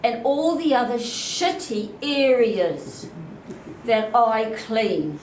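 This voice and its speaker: A woman's voice: a little high, darkish tone. A woman in her seventies with a New Zealand accent, asking a blunt, indignant question from the floor at a public meeting on a handheld mic. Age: 70s. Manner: blunt, indignant, slow and emphatic. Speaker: audience member asking a question. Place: chapel hall. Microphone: handheld microphone. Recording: echoey hall, faint room noise.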